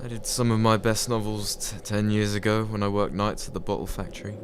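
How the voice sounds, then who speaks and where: Man acting a low-voiced, laconic middle-aged writer. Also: little pitch movement, brisk, a little hiss or hum, natural voice. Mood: subdued. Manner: laconic, casual. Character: subdued, skeptical male railway worker and unpublished writer, forty-five. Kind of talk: dramatic dialogue in an audio-led motion comic.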